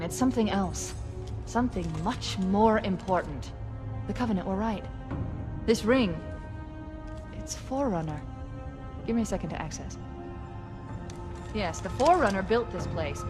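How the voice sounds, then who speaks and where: A woman's voice: medium pitch, close to an everyday voice. A woman playing a brilliant, impatient female artificial intelligence in a dramatic video game cutscene, speaking emphatically and urgently. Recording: music behind the voice.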